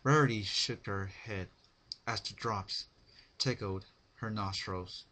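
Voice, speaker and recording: Slow, raspy male horror narrator. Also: on the low side, sharp tone. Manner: halting, stumbles over words. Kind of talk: dramatic reading of horror fan fiction.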